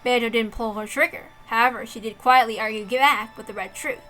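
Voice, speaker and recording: A woman giving an expressive, deliberate dramatic narration of a visual novel. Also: a little high, soft background music. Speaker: reader, narrator.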